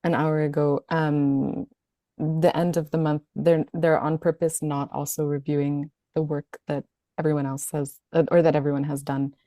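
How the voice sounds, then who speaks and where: A woman's voice: sits low, level tone, moderate pace, warm tone, no room sound, clean studio sound. A woman speaking as a panelist, hesitant and full of fillers.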